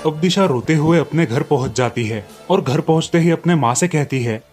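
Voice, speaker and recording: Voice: medium pitch, normal speed, darkish tone, faint music behind. A narrator reading a scripted moral story in storybook style.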